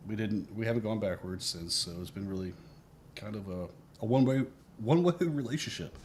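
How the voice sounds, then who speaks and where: Deep voiced guy hosting a game stream, chill and jokey, low voice. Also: brisk, a little mellow, a little hiss or hum. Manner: laid-back, joking, encouraging. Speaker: streamer host. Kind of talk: casual stream commentary.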